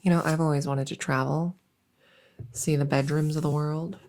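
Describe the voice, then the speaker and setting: A harmless, silly female monster from under the bed, played by a woman in an ASMR audio roleplay. Her voice is low, soft and mellow, with a creaky edge. She rambles haltingly and earnestly, like an awkward interviewer. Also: flat delivery, a little hiss or hum. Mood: musing, hushed. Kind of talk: ASMR audio roleplay, one-sided improvised-sounding interview.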